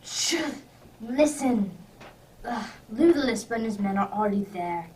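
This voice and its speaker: A woman playing a brisk, impatient, clever male detective with theatrical emphasis in an amateur radio drama. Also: mid-range voice, lively intonation, a little mellow, natural voice. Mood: commanding. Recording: distant microphone, background noise.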